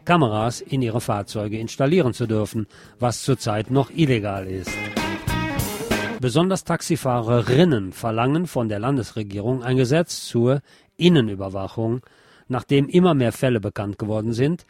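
A man's voice: medium pitch, expressive, normal speed, darkish tone, faint music behind. A man hosting a radio programme, reading scripted local news and adding his own commentary.

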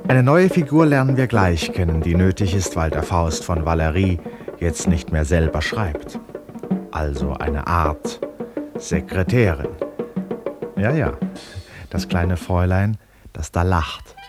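Male narrator, very low voice, unhurried delivery, wry radio introduction, loud percussive music behind. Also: expressive.

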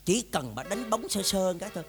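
A man's voice: sits high, sing-song, a little fast, in a large hall, over music. A man with a southern Vietnamese accent acting a suspicious, blustering husband in a comic domestic drama, exclamatory and folksy. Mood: suspicious musing. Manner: exclamatory, folksy, muttering to himself then scolding. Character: suspicious, blustering husband bickering with his gambler wife. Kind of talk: comedic stage-style domestic drama acting.

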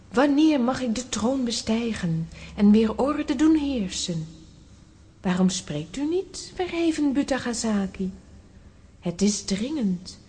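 A woman's voice: sits high, mellow, slightly rough, exaggerated voice. A woman playing an earnest young princess in a children's audio drama, formal and pleading. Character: earnest young princess awaiting her throne.

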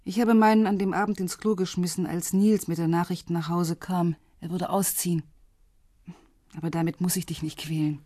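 A woman's voice: fairly low pitch, fairly even intonation, moderate pace, dark tone, no echo, studio quality, lightly acted. An actress playing a candid, wry middle-aged woman in a radio crime drama, speaking in a subdued, direct way. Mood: apologetic, confiding.

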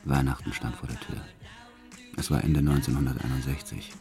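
A hardened, violent gangster tells his own story in a radio drama. His voice is extremely deep. He recounts brutal deeds in a measured, matter-of-fact way, with tenderness underneath. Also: steady tone, vocal fry, over music. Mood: subdued, brooding. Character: violent male career criminal confessing his life, brutal yet tender. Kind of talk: first-person radio play narration.

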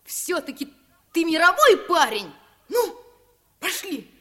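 A woman's voice: very high voice, slow pace, exaggerated voice. A woman voicing a brave, determined young boy who leads his friend, in a 1950s radio play. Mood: eager. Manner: eager, commanding, lively.

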